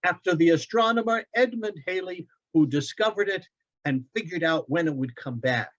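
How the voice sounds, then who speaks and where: Man voicing an eager, high-pitched little boy. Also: normal speed. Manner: bright, wondering, excitable. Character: eager, curious young boy stargazing with his father. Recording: dull, lo-fi online event audio.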